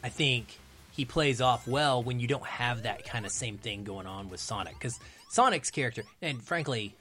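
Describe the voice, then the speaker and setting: A man's voice: mid-range voice, moderate pace, fairly bright tone, soft background music. A man around his thirties or forties talking casually as a podcast guest, explaining his film opinions in an analytical, emphatic way.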